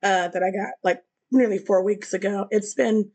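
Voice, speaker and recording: Gravelly-voiced woman chatting casually. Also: mid-range voice, steady tone, normal speed, a little mellow. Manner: chatty, giggly, swears freely. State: recovering from flu, voice rough. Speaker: video essay host. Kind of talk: casual commentary.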